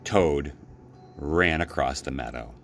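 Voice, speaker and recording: A man reading a children's storybook aloud as a librarian, with expressive, measured narration. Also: a bass voice, soft background music. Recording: clearly noisy background.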